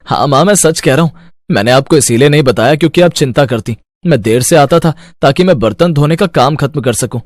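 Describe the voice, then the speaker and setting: A man's voice: fairly high pitch, fairly quick, no room sound, clean studio sound, close to an everyday voice. A man voicing an earnest, dutiful teenage son in a cartoon moral story, explaining things reassuringly. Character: earnest, dutiful fifteen-year-old son of poor mother. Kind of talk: animated moral-story dialogue.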